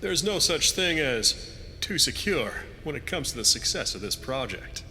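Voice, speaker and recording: A man's voice: sing-song, moderate pace, sharp tone, soft background music, full character voice. Man playing a piercing, smug security chief. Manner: smug, officious. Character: smug, rigid male villain security chief. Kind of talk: audio drama acting. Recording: echoing hall with faint rumbling noise.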